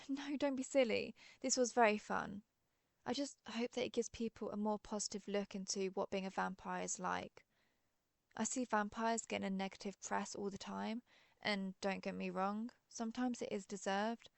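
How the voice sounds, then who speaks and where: A woman acts as a genteel female vampire with a gravelly fry in her voice, recorded close and dry. She is an ancient but friendly creature, chatting in a polite, gently wry way in an audio roleplay. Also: medium pitch, fairly even intonation, normal speed, dry recording, lightly acted. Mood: gracious, friendly. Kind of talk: scripted roleplay audio, one side of an interview.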